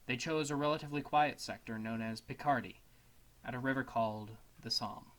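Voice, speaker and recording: A man narrating a scripted essay as a dramatic reading, in a solemn, measured manner. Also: medium pitch, a bit bright. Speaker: student narrator.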